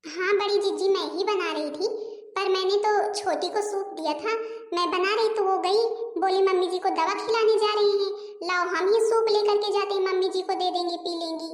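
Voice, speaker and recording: A woman's voice: very high voice, steady tone, normal speed. A woman voicing a dutiful, worried daughter-in-law in a comedy cartoon, anxiously explaining herself. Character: dutiful, worried middle daughter-in-law who does the cooking. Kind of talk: Hindi comedy cartoon dialogue. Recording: echoey, long reverberant room sound.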